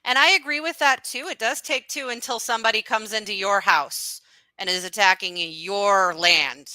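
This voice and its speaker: A woman co-hosting a sports podcast in casual chat, frank and quick to agree or play devil's advocate. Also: medium pitch, pushed and bright, dry recording. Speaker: podcast co-host.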